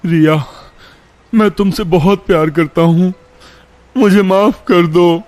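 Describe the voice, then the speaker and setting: A man's voice: high voice, fairly even intonation, takes it slowly, darkish tone, pitched above the performer's own voice. A man voicing a frightened, guilty young man in a cartoon horror story, trembling with remorse as he speaks. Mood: pleading.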